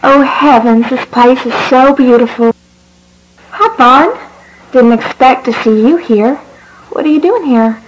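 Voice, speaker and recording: Woman voicing a high, sweet cartoon animal girl. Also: a little slow, a little hiss or hum, low-quality recording. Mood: sweet, delighted. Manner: dainty, polite, gushing. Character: sweet, cheerful, innocent female cartoon animal. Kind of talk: cartoon voice acting.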